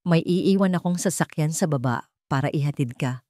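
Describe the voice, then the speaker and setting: A woman's voice: sits low, moderate pace, warm tone, no room sound, natural voice. A woman acts out a rich, icy male boss from a romance audio drama. He is curt and stern, handing out orders in a close, dry sound. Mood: curt, aloof.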